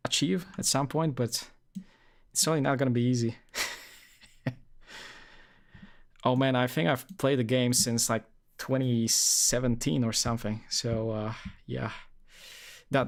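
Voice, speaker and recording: Young male streamer from Poland, easygoing rambling chat, full of fillers, mid-range voice. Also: mid-range voice. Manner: rambling, many fillers and restarts. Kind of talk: casual live-stream chat.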